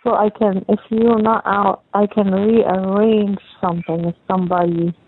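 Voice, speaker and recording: A young adult woman chatting casually on a phone call, talking in a halting, rambling, repetitive way. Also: mid-range voice, level tone. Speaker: caller talking with partner. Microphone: telephone call. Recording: tinny phone line with faint noise.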